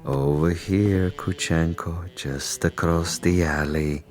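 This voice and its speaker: A man's voice: low-pitched, fairly even intonation, slow pace. An actor playing a cunning Soviet commissar in a radio drama, a pompous gamesman who speaks with taunting, theatrical precision. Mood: gloating. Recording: music behind the voice.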